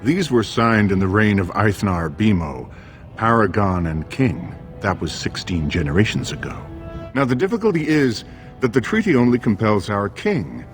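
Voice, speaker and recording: An actor playing a formal, scheming dwarf royal advisor in a fantasy video game, speaking in measured, diplomatic phrases. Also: male voice, lowish voice, sing-song, soft background music. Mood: courtly, measured.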